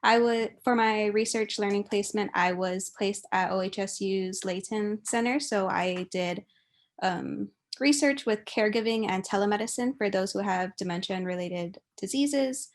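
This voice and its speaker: A woman's voice: medium pitch, moderate pace. A young adult woman from Hawaii, giving an informal, chatty and giggly speech as a guest speaker. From Kauai, Hawaii.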